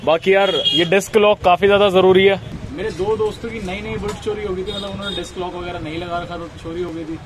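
A man's voice: sits high, steady tone, fast talker, low-quality recording. A young man, vlogging casually into a phone at arm's length, chatting with lots of fillers. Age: young adult. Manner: chatty, fillers like yaar and guys. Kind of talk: casual vlog chat. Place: busy outdoor market street. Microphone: phone held at arm's length. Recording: loud street crowd behind. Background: crowd and traffic noise.

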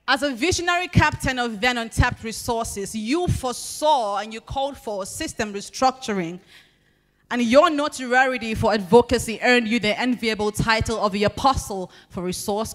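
Young Nigerian woman reciting spoken word. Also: on the high side. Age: young adult. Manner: measured, expressive recitation with deliberate pauses. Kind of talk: spoken word poetry performance. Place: large banquet hall at a podium. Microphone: handheld microphone.

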